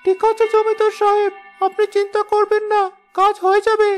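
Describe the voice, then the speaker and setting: A man's voice: very high voice, monotone, fairly quick, soft background music. A man voicing an obedient, eager henchman of a village landlord in a children's fairy-tale cartoon, deferential in manner.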